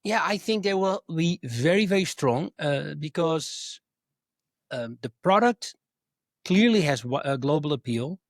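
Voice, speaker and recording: A man with a fairly high voice, co-hosting a casual tech and crypto podcast. He thinks aloud, hesitating and restarting as he talks. The sound is dry and close, with no room around it. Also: lively intonation, a little slow, no echo. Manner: thoughtful, hesitant, with fillers and restarts. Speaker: podcast co-host. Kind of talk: casual chat.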